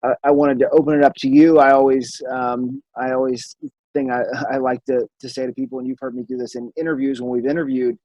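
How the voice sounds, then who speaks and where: Eager podcast host, dry sound. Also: male voice, medium pitch, fairly even intonation, dark tone, no echo, lo-fi sound. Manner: enthusiastic, halting, many fillers. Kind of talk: casual interview. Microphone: remote recording.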